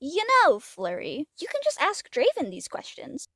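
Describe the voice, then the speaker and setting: Casting: woman to play learned, encouraging pony princess for cartoon audio drama; high pitched, very expressive, dry sound. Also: moderate pace, no room sound, heavily acted. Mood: reassuring. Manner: explanatory, reassuring. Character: bright scholarly adult pony princess, encouraging aunt.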